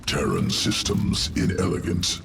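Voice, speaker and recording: A man's voice: measured pace, fairly bright tone, lightly acted. A man voicing a cold, analytical alien hive creature in a video game, speaking in terse, clipped fragments with an inhuman growl. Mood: cold, clinical. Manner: terse, clipped speech with dropped articles. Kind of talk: video game cutscene acting. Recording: distant, reverberant, faint noise.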